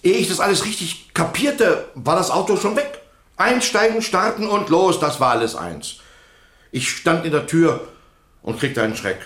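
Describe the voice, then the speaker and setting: An actor playing a flustered, defensive witness, agitated yet deferential, in a German radio courtroom drama. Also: male voice, fairly high pitch, big pitch swings, normal speed, fairly bright tone. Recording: roomy, echoing courtroom sound.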